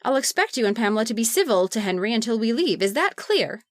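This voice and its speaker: An actress plays a stern, dutiful sister in an old-time radio drama. Her voice is fairly high and dryly recorded, with a cool, formal, measured composure. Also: sing-song, dry recording, clean studio sound. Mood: cool, firm. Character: firm, coolly formal, dutiful sister about to marry.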